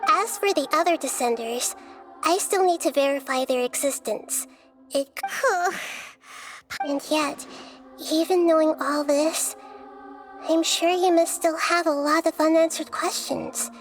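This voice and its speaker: A woman voicing a gentle, thoughtful young-seeming goddess of wisdom in a game cutscene, explaining things calmly and carefully. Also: high voice, faint music behind. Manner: calm, measured, explanatory.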